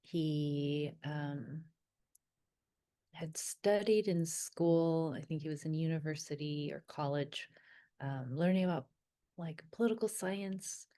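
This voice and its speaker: A woman with a low, mellow voice speaks slowly and softly. She tells personal memories in a reflective, hesitant way, often pausing to search her thoughts. Her first language was Vietnamese. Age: adult. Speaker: wellness creator.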